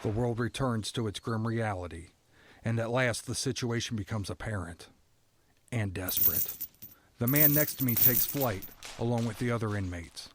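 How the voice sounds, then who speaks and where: Male actor as condemned prisoner, first-person dramatic narration, moderately low voice, stoic, resigned, somber, deliberate, faint background noise. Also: natural voice. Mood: grave, urgent.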